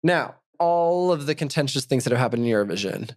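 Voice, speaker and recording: A man's voice: mid-range voice, fairly quick, dry recording, clean studio sound. A man co-hosting a podcast, reading out listener comments in casual chat and laughing often at his own mistakes. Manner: laughs often, animated and self-mocking. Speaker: podcast co-host.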